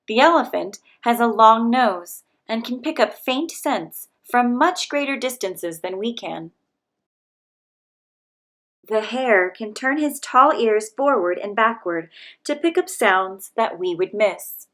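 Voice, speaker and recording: A woman with a somewhat high voice reads a picture book aloud. She speaks gently and steadily, pitching her words to young listeners. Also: expressive. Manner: gentle, measured, speaking to children. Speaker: children's book reader.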